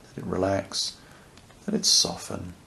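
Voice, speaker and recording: A man with a low voice, heavy with gravelly creak, guides a deep relaxation meditation. He speaks softly and without hurry, leaving long gaps between short, soothing phrases. A faint fireplace ambience sits behind him. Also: very animated. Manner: soft, unhurried, soothing, with long pauses. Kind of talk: guided yoga nidra meditation. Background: crackling fireplace ambience.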